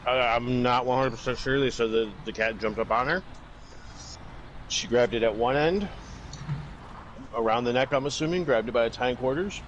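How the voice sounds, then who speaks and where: A man's voice: mid-range voice, moderate pace, pauses often, highs cut off. A young man chatting casually and telling stories in a crude, sweary way, acting out the dialogue as he goes. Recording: vehicle and road noise.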